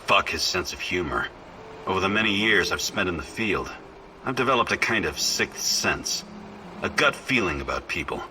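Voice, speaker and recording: An actor playing a gruff, suspicious, hard-boiled male veteran soldier in a video game, blunt and profane. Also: low voice, animated, normal speed, bright, forceful tone, slight background noise, exaggerated voice. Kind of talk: video game character acting.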